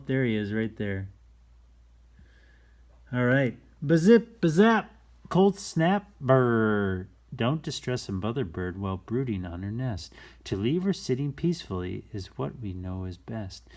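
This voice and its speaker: A man hosting a children's story time, reading aloud in a playful, expressive way with chatty, folksy asides and shifts between hushed and exclaimed delivery. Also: on the low side. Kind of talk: animated read-aloud with casual asides to young viewers.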